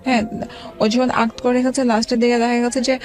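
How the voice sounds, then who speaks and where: A woman in her forties, a guest telling her own life story in an interview, speaking haltingly with many pauses and restarts. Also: on the high side, level tone. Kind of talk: emotional personal account in an interview. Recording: background music under the talk.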